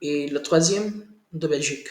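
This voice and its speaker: A man practicing conversation as a learner, his speech halting and full of pauses and "euh." His voice sits a little high for a man. The call audio sounds roomy and echoing. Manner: halting learner French, frequent hesitations. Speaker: language student.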